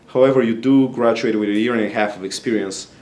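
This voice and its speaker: A young man from Bulgaria, a graduate student speaking English as a second language, gives interview answers in a somewhat low voice. He explains earnestly and often pauses on fillers. He is recorded close to the camera in a small, plain office. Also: darkish tone.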